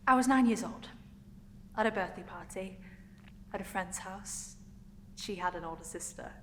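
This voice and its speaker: A woman performing a passionate young woman in a dramatic stage monologue, emotional and emphatic, pausing for effect. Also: medium pitch, natural voice. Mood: wistful, wondering. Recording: echoey hall, noticeable room noise.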